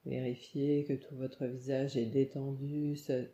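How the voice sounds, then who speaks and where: Woman, guided relaxation, very mellow dark timbre, soothing short phrases, slow pace, roomy muffled recording. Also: very deep voice. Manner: calm, soothing, instructing in short phrases with pauses. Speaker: yoga nidra meditation guide. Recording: muffled, echoey room sound.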